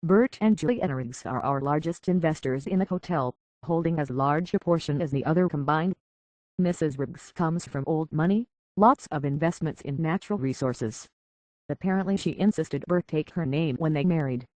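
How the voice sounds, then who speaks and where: A man's voice: a little high, normal speed, darkish tone, dry recording, close to an everyday voice, low-quality recording. A prim British robot butler from a video game, acted by a man, speaks in a dry, close recording. He is formal and deferential, an anxious hotel concierge who minds every courtesy. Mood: courteous, formal. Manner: formal, deferential, fussily polite. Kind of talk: scripted video game character acting.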